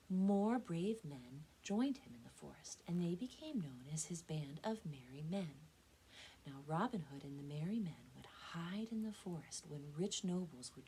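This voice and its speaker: American lady doing kids storytime at a library, super expressive and up and down, bit of room noise. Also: fairly low pitch, poor audio. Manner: expressive, dramatic emphasis. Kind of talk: animated storytelling for children. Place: indoors in a library.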